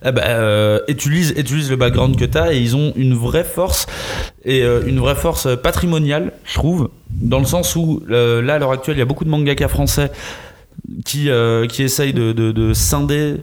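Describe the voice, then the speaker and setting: A man's voice: medium pitch, moderate pace, a little mellow. A man reviewing manga in a casual, opinionated group discussion, speaking emphatically and slangily, often repeating words as he searches for the next.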